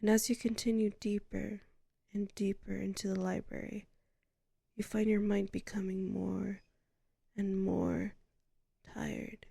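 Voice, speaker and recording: Woman acting as hypnotist librarian, sleep ASMR, soothing, hushed breathy half-whisper, unhurried pacing, heavy vocal fry, close dry mic. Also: mid-range voice, flat delivery, no echo, exaggerated voice. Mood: soothing, drowsy. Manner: slow, soothing, hushed, lingering pauses. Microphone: close microphone.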